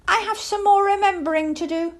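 A woman's voice: extremely high pitch, fairly even intonation, brisk, pitched above the performer's own voice. A woman voicing an eager, naive little male owl chick with a chirpy, childlike, inquisitive way of talking. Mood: nervous.

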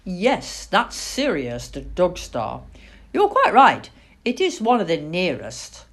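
Woman voicing a genial, animated stargazing man. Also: fairly low pitch, slow pace, exaggerated voice. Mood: enthusiastic. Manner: exclamatory, polite, explaining. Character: genial, enthusiastic grown man, amateur stargazer.

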